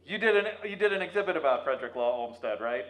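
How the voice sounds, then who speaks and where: A man's voice: medium pitch, animated, fast talker, fairly bright tone. A man hosting a playful interview in a jokey manner, calling out through a megaphone in an echoey room. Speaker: host, museum staff.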